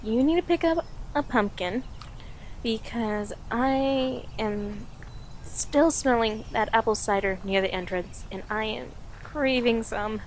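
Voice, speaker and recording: A woman playing an affectionate, playful girlfriend in an audio roleplay, talking softly and giggly to the listener with lingering pauses. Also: on the high side, slight background noise. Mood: teasing. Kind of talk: audio roleplay, intimate slow-paced monologue to listener.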